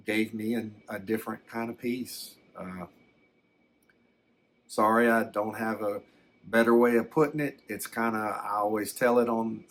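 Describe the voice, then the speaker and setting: A man playing a gentle, rambling older man who recalls his alien abduction in a hesitant, folksy, reflective way for an ASMR story. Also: on the low side, slight background noise. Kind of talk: first-person ASMR storytelling.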